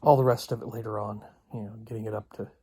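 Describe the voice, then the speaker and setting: A man giving a casual, unscripted vlog update in a halting, rambling way with long pauses. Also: on the low side, fairly even intonation, dark tone, slight background noise. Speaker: video blogger.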